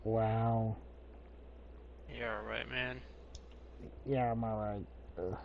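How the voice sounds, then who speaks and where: A man streaming a video game, giving relaxed, offhand commentary. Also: on the low side, slow, creaky, narrow, tinny audio, slight background noise. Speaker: let's play streamer. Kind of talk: casual gameplay commentary.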